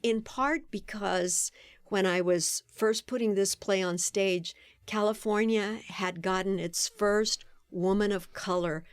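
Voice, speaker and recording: A woman speaking as a podcast guest, recounting stories with animation and frequent laughter. Her voice sits in a middle pitch and is recorded dry and close, with no room sound. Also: takes it slowly, clear, resonant tone, no echo. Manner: storytelling, animated, laughs often. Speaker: guest, playwright and performer. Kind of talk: podcast interview.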